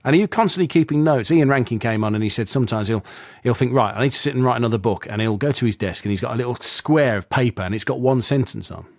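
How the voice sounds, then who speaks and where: A man's voice: medium pitch, quick pace, few pauses, dry recording, clean studio sound. A man hosting a radio interview, asking friendly, rambling questions and affirming often. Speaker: radio host.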